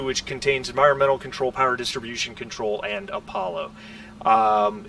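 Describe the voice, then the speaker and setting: A man running a tabletop roleplaying game as game master, explaining the setting in a halting, casual, conversational way over an online group call. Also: mid-range voice, creaky, sharp tone. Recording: noisy, distant microphone.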